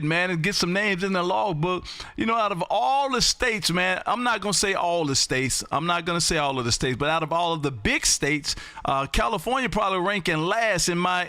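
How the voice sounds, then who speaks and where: Loud, bright, sing-song ham radio man. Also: sits high, no room sound. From Texas. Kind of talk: live radio calls. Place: home radio room. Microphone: studio boom mic, headphones.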